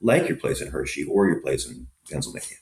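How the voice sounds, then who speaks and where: A man's voice: rumbling low, a little fast, roomy sound. A man from Southern California, a restaurant operator and investor, talking through anecdotes in an interview with lots of fillers. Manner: anecdotal, many fillers, lively storytelling. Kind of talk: conversational interview answers.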